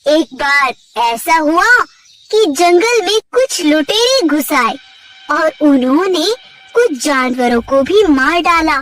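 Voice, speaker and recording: A woman narrating a scripted children's story in an expressive storytelling manner. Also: high-pitched, takes it slowly. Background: background music.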